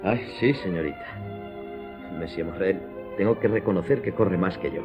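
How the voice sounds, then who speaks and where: An actor playing a worn-out, deferential elderly servant in a radio drama, out of breath. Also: male voice, low-pitched, normal speed, lightly acted. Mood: breathless, apologetic. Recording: music clearly behind.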